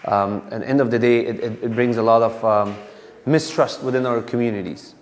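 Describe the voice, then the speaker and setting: A man's voice: mid-range voice, steady tone, moderate pace. Man giving a community talk in a hall. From Afghanistan. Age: 30s. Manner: conversational, many fillers and restarts. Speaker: police officer. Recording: long hall reverb.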